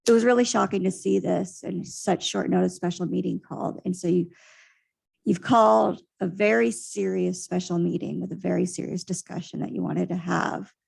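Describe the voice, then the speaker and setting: Local resident, female, soft and raspy, earnest public comment. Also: fairly low pitch, fairly even intonation, moderate pace. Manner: earnest, pointed criticism.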